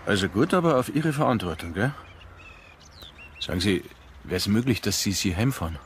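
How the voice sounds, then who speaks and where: An actor playing a concerned, brisk male helper who gives instructions in a naturalistic radio drama. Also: fairly low pitch, sing-song. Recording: faint ambient background noise.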